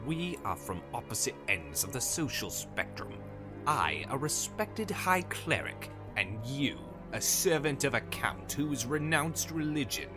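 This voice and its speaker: An actor playing a pompous, impatient and menacing high cleric villain in a dark fantasy drama. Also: male voice, big pitch swings, unhurried. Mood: haughty. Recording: loud music behind the voice. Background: music.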